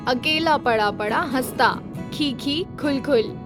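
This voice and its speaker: A woman's voice: high-pitched, slow pace, over music. A woman narrating a children's story from a script.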